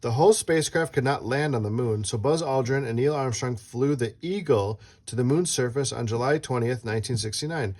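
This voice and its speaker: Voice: medium pitch, fairly quick, hardly any pauses, darkish tone. Playful read-aloud host for kids. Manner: playful, asks the child questions. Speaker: host reading a picture book aloud to a child. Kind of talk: children's read-aloud with casual asides.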